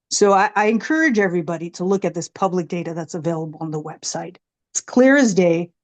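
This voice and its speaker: A woman making a prepared public comment at a meeting, with an emphatic, deliberate way of talking. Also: fairly low pitch, lively intonation.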